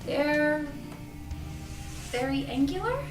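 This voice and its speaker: A woman's voice: sits high, expressive, takes it slowly, distant mic. A woman playing a polite, uneasy young shop worker in an audio drama table read, courteous and hesitant. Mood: dry, teasing. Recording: echoey room, off-mic, music behind.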